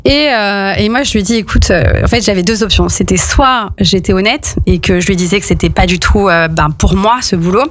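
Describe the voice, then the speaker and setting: A woman's voice: mid-range voice, moderate pace, few pauses, faint music behind. Give me a young woman from France, casually telling her story with a lot of hesitation. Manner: hesitant, many fillers and restarts.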